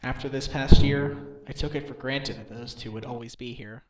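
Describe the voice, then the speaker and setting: A man's voice: mid-range voice, steady tone, normal speed, heavy background noise, close to an everyday voice. A man playing an earnest, troubled defense lawyer and father in a game fan dub, brooding and self-doubting. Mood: troubled, regretful. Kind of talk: dramatic visual novel dubbing. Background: background music.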